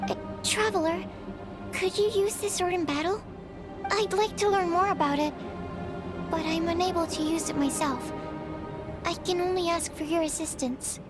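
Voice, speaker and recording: A studious young alchemist from a game, speaking in a very high voice with formal, polite wording. She stumbles over her words when flustered, and game music plays behind her. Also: normal speed, pauses often. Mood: earnest. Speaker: game character. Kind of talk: video game cutscene dialogue.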